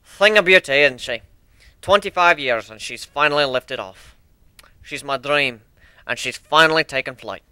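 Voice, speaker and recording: A man's voice: a little high, normal speed, pushed and bright, smooth, no echo. A man voicing a proud, sentimental old minotaur shipbuilder in an amateur dramatic story reading. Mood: proud, wistful.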